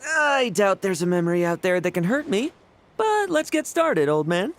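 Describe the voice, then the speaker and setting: A brash young male fighter from a fantasy game. He teases and taunts, hungry for a challenge, in a very lively, swinging voice. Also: sits high, normal speed. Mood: cocky, eager. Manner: brash, teasing. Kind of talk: video game voice acting.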